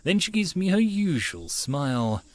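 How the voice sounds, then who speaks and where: A man narrating a first-person story as an audiobook reader, in an expressive storytelling style. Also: fairly high pitch, unhurried.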